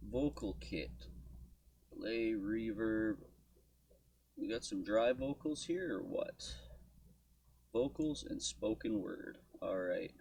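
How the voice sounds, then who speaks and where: A man giving an informal software walkthrough as a tutorial host, thinking aloud as he goes. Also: on the low side, slow pace, pauses often, a little hiss or hum. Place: indoor desk at home studio.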